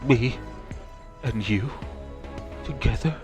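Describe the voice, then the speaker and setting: A man's voice: medium pitch, slow pace, darkish tone, faint music behind, lightly acted. A man playing a sincere, smitten culinary student in a comic dating game, speaking earnestly and a little hesitantly. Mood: smitten, hopeful. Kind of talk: comic dating-sim voice acting.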